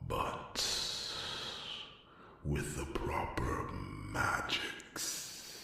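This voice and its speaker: A man playing a grave, ancient dragon in a whispered ASMR fantasy roleplay, with slow, halting, ponderous speech and musing hums. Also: sharp tone. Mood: grave, deliberate. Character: grave, ancient male dragon counselling a human companion. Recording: distant, echoey cavernous reverb, faint background noise.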